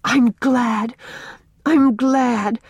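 A woman's voice: a little high, steady tone, drawn-out words, a little mellow, slightly rough, no echo, pitched above the performer's own voice, heavily acted. A woman voicing an earnest, timid young girl who pleads in a breathless, eager way. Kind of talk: audiobook character reading.

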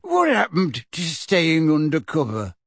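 A shifty, cowardly small-time crook, mumbling and stammering sheepishly, as a narrator puts him on. His voice sits lower than the narration, with a husky grain. Close, dry audiobook sound. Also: huge pitch swings, unhurried, no room sound, exaggerated voice. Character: shifty, cowardly middle-aged male petty crook. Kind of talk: audiobook character voice.

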